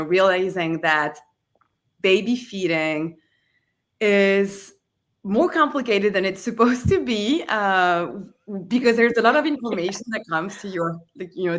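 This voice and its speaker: A woman in her thirties with a French accent, a company founder speaking casually on a webinar panel with many fillers. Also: mid-range voice. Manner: conversational, many fillers like "like" and "you know".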